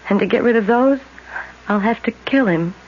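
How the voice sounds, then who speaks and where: A woman acting an overwrought young American woman in a seventies radio play. She speaks rather high, in halting, emotional phrases. The sound is dull old radio with a little noise. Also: close to an everyday voice. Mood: agitated, weary. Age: young adult. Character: troubled, overwrought young woman plagued by guilt and headaches. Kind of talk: 1970s radio drama acting.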